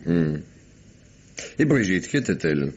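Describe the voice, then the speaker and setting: A man's voice: sits low, very animated, a little slow, darkish tone, husky, exaggerated voice. A man playing a shrewd, unflappable police commissioner in a mid-century French radio crime drama, speaking in a dry, terse, colloquial way. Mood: cool interrogation. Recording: dull, muffled old radio recording.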